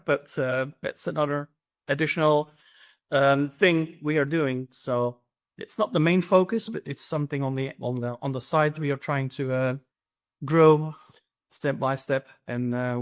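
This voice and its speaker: A middle-aged man from Belgium with a Flemish accent, answering interview questions casually with lots of ums and restarts. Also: fairly high pitch. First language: Dutch. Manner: hesitant, many ums and restarts. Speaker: real estate agency CEO.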